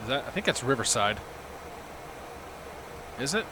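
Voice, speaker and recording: A hoarse man hosting a livestream, chatting casually and talking himself through each cockpit step as he flies a simulator. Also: mid-range voice, sing-song, moderate pace, background noise. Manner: talks himself through cockpit steps, chats with viewers. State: losing his voice, hoarse. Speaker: livestream host. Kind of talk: casual commentary while flying a simulator.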